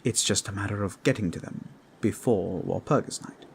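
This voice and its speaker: A man voicing a calm, scholarly fantasy adventurer, explaining things formally and deliberately in a roleplay audio drama. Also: lowish voice, creaky, background noise. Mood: calm, reassuring.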